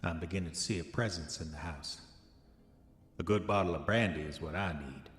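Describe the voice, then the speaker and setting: An actor playing an arrogant, dissolute diarist of the 1910s, speaking formal old-fashioned entries in a pompous manner for a horror audio drama. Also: male voice, low voice, brisk, fairly bright tone. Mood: uneasy. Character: arrogant, dissolute 1910s male diarist, self-satisfied, increasingly haunted. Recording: echoey long reverb, music underneath. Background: music.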